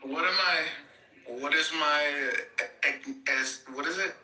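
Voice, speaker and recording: A man's voice: a little high, slow, sharp tone. A man from Texas in a casual group chat, trading profane, defensive jokes. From Texas, United States. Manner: profane, defensive joking banter. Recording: roomy, distant audio.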